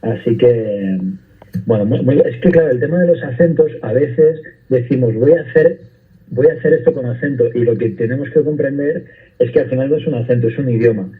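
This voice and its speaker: A man's voice: medium pitch, brisk. Man on a tinny phone line. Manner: thoughtful, explains at length with restarts. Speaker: actor guest. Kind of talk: radio interview answers.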